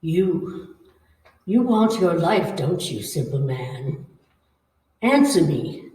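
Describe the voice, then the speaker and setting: Female ghost, played by an actress, taunting and commanding, swooping pitch, distant echoey sound. Radio horror play. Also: sing-song, mellow, exaggerated voice. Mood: menacing. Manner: slow, commanding, taunting, theatrical. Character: menacing, coaxing female ghost hungry for blood and life. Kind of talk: old-time radio horror acting. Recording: echoey room, far from the mic.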